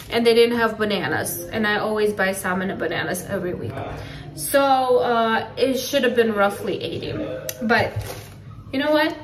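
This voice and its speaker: A woman in her thirties chatting casually through a grocery haul vlog, relaxed with laughing asides, filmed on a phone in her home kitchen. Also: a little high, distant mic. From California. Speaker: family vlogger. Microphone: phone camera at arm's length. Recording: echoey kitchen, voice a bit off-mic.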